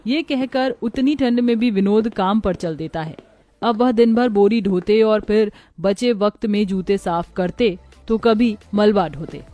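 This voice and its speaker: A woman's voice: mid-range voice, a little slow, warm tone, no echo, soft background music. A woman narrating a scripted moral story as a storyteller.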